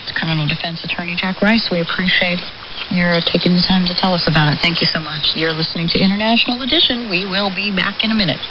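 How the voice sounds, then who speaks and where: A woman's voice: lowish voice, fast talker, muffled recording. A woman hosting a radio news programme, presenting in a scripted broadcast style over shortwave. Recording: noisy shortwave radio reception. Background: radio static.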